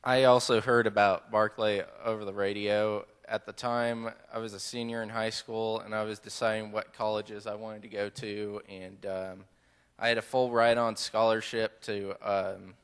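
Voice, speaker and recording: A young man from Kansas, a graduating student, telling his story in an earnest, halting way with many fillers. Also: lowish voice, fairly bright tone, smooth, long reverb tail. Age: young adult.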